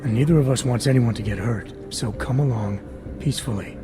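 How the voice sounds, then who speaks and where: An actor playing a stern, pragmatic agent in a video game cutscene, speaking in a blunt, matter-of-fact way. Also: male voice, medium pitch, fairly quick, close to an everyday voice. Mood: firm, persuasive. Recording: music behind the voice.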